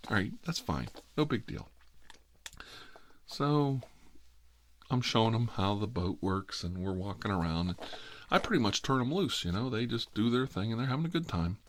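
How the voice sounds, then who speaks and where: A man's voice: on the low side, animated, moderate pace, pauses often, slight background noise. A middle-aged man with an American accent telling a rambling personal story in a casual, wry, self-deprecating way. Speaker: host.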